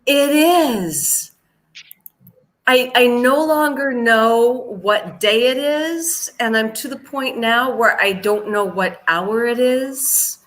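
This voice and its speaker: An American woman from Seattle teaching English on a live video call, chatty and joking, slowing down when she greets her students. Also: on the high side, a bit bright. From United States, Seattle. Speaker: English teacher hosting a live online class. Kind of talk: live online lesson, chatty.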